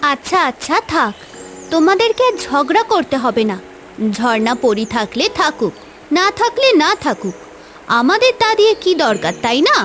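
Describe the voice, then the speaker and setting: A woman voicing a gentle, reassuring confidante in a fairy-tale cartoon, soothing and coaxing. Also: sits high, big pitch swings, normal speed. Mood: placating. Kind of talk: Bengali fairy-tale cartoon dub acting.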